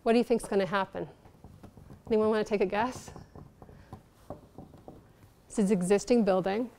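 A middle-aged woman giving a conference lecture as keynote speaker, halting and emphatic, leaning on key words. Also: mid-range voice, steady tone, darkish tone, slight background noise. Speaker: keynote speaker, architect and researcher. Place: large lecture auditorium, speaking from a lectern on stage.

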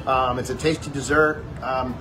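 A man in his forties talks through a fair dessert, circling back over his words with frequent fillers. His voice sits at an ordinary middle pitch. It is recorded on a phone in a small wooden shed, with clear background hiss. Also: fairly even intonation, normal speed. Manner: wordy, hesitant, many fillers and restarts. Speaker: food reviewer. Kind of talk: casual chat.

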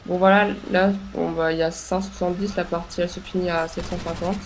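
A woman's voice: fairly low pitch, level tone, a little fast, noisy background. Young woman with a stuffy cold voice. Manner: hesitant, many filler sounds and restarts. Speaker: gameplay commentator. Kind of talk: casual gameplay commentary.

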